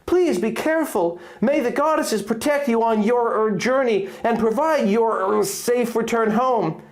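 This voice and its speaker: A man voicing a gracious, anxious young princess with formal, halting speech in a story reading. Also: very high-pitched, pitched above the performer's own voice. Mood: concerned.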